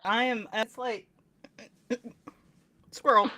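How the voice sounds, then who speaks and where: A woman hosting a podcast in a casual interview style, informal and a little halting, with joking asides. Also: fairly high pitch, steady tone, noisy background. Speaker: podcast host.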